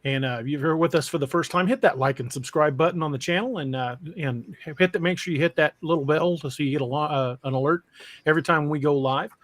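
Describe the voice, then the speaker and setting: A man's voice: a little high, quick pace, fairly bright tone, no echo. An American man hosting a casual livestream podcast in an informal, conversational way. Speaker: podcast host.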